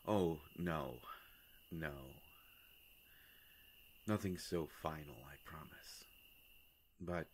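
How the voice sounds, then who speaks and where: A man playing a courteous, melancholy vampire in a roleplay audio drama, speaking formally and hesitantly with long pauses and a gravelly voice. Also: slight background noise, full character voice. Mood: gentle, courteous. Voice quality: gravelly, creaky low voice. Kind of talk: scripted roleplay audio drama addressed to the listener.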